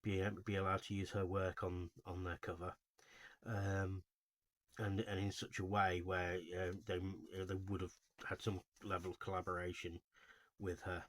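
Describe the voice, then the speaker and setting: A man giving informal, unscripted video commentary as a host, in a halting, rambling way with frequent self-corrections. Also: low-pitched, monotone, fairly bright tone, dry recording. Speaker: host of a video series on album cover art.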